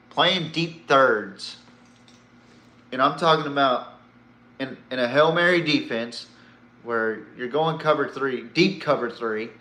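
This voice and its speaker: A man's voice: medium pitch, bright, forceful tone, roomy sound. A man hosting a sports podcast, giving casual, opinionated commentary in a blunt way, swearing and throwing out rhetorical questions.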